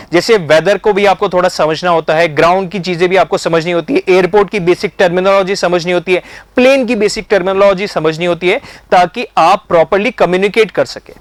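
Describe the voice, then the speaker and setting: An Indian man with a high voice teaches in a methodical, step-by-step way. He drops English terms into his explanations and often checks that the point has landed. The sound is dry and close, with very little room in it. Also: no echo. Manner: mixes English terms into speech. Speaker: instructor explaining a career. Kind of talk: explanatory online lesson.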